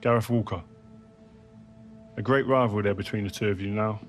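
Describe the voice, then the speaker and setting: A man's voice: lowish voice, steady tone, brisk, soft background music, natural voice. An actor playing a chummy British football interviewer in a game cutscene, chatty and informal as he probes. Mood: probing, friendly.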